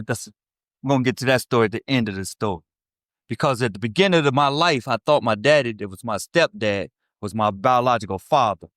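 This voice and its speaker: This is a man in his fifties with a Chicago accent, telling a meeting his story of recovery. He talks blunt and streetwise, swears freely and keeps asking "right?" His voice sits at an ordinary pitch and sounds dry, with no room echo. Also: a bit bright. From South Side of Chicago. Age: 50s. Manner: blunt, streetwise and profane, with frequent "right?" asides. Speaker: recovery speaker. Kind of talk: personal recovery testimony to a meeting.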